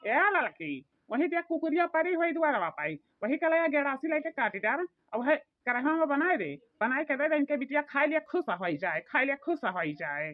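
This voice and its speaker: A woman's voice: high-pitched, sing-song, moderate pace, hardly any pauses, no room sound, pitched below the performer's own voice. A woman voicing a harsh, scolding older village mother in an Awadhi cartoon, with an Awadhi accent, barking orders. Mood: scornful. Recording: tinny, phone-like dry sound.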